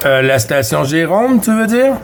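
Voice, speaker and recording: High-pitched Québécois man amid radio noise. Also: very animated, moderate pace. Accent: Quebec French. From Quebec, Canada. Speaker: CB radio operator. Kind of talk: casual radio contact chat. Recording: noisy radio static, clicks.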